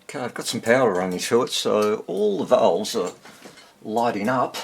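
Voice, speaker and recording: A man giving casual, unscripted hands-on repair commentary, thinking aloud in short fragments with a husky, gravelly voice. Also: fairly low pitch, animated, normal speed. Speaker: hobbyist radio repairer demonstrating on video.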